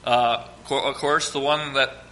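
Hoarse preacher with a cold. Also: male voice, medium pitch, fairly even intonation, bright, forceful tone. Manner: reads scripture slowly and emphatically, asks congregation to quote verses. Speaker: pastor. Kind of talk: sermon.

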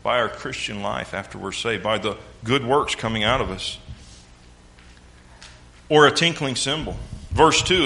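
A man's voice: fairly high pitch, bright, forceful tone, a little hiss or hum, rough recording. A man from the American South with a Southern accent, preaching a sermon with folksy jokes and mimicked sounds.

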